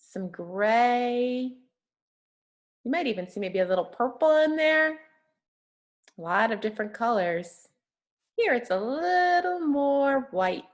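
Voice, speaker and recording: A woman reading a picture book aloud to young children, talking simply and encouragingly and often putting questions to them. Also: on the high side.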